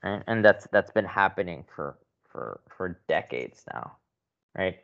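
Man from the Philippines, low voice, chatty interview guest, muffled lo-fi audio. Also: lively intonation, measured pace, gravelly. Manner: conversational, frequent restarts and "right?" checks. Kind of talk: podcast interview.

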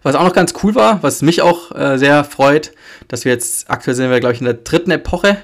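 A man's voice: fairly high pitch, normal speed. A man with a German accent who has a cold and a stuffy nose, hosting a solo podcast in a loose, rambling way with many fillers and self-corrections. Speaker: podcast host. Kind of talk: casual solo podcast talk.

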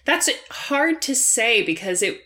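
A woman's voice: on the high side, big pitch swings, slow, pushed and bright. A woman co-hosting a podcast, chatting casually, reflecting and laughing often. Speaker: podcast co-host. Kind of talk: casual chat.

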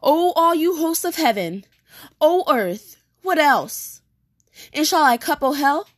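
A woman playing an anguished, vengeful young prince in a declamatory Shakespearean stage monologue with dramatic pauses. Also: sits high, sing-song, dry recording, clean studio sound, full character voice. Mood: anguished, appalled. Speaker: performer rehearsing a soliloquy. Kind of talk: theatrical Shakespearean monologue, repeated takes.

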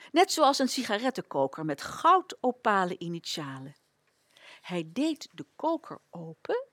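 Female storyteller for audio fiction, lively and varied intonation, closely recorded. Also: mid-range voice, no room sound. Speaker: radio play narrator. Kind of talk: scripted storytelling.

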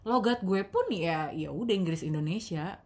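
A young woman with a Betawi-tinged Jakarta accent, co-hosting a casual podcast chat, lively and slangy, often laughing and dramatizing. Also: lowish voice, moderate pace. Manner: animated, laughing, dramatic self-pity, slangy code-mixing. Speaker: podcast co-host.

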